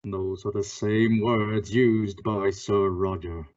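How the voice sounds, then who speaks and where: A man acting a stern, menacing invisible crime fighter in an old-time radio thriller, speaking deliberately and accusingly. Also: on the low side, steady tone, darkish tone. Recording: dull lo-fi audio.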